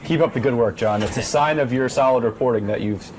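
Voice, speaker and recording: A man's voice: medium pitch, fast, noisy background. A man conducting an interview with dry, persistent, probing questions.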